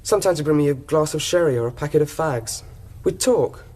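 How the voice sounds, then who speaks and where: A man's voice: a little high, a little fast, darkish tone, natural voice. An actor playing a trusting, innocent young Englishman in a radio drama, talking in a mild, polite, candid way. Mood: candid, reminiscing. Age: young adult. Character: trusting, innocent young male college gardener accused of blackmail. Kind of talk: British radio drama acting.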